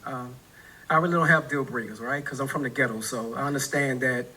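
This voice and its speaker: A man's voice: mid-range voice, a little fast, bright, forceful tone, breathy edge, poor audio. A man in his forties with a New Orleans accent, a dating show contestant answering questions in a laid-back way and often tagging on "right?". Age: 40s.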